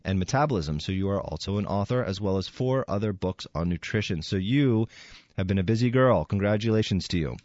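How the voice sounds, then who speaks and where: A man with a hoarse voice, hosting an interview in a relaxed, chatty and halting way. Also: lowish voice, dry recording, dull audio. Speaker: show host. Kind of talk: casual interview.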